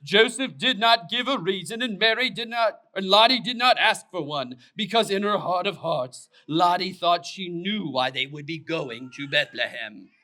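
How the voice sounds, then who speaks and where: A man narrating a scripted children's puppet show story in an expressive, deliberate way. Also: high voice.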